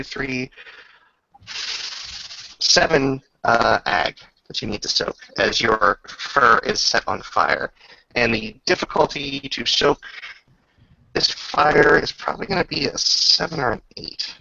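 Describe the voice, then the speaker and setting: A man with heavy fry and a forceful edge runs a tabletop roleplaying session as game master. His talk is informal and descriptive, moving between casual rulings and narration. The sound is slightly dull, like a lo-fi voice chat. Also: medium pitch.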